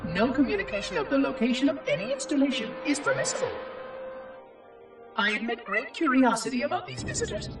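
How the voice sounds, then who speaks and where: An echoing, very high, synthetic voice of an ancient robot caretaker. He speaks in formal, exact, theatrical phrases, fussy and dutiful yet curious and lonely, with sweeping intonation, like a log entry in a sci-fi video game. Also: big pitch swings, a little fast. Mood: curious, optimistic. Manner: precise, formal, theatrical enunciation. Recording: distant, echoing, music underneath. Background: music.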